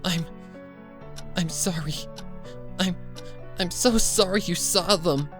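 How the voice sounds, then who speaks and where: Man as a timid, tearful, higher-voiced pony mare. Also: slow pace. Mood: frightened apology. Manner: hushed, stammering, sniffling. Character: scared, timid, tearful female cartoon pony. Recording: music bed behind the voice.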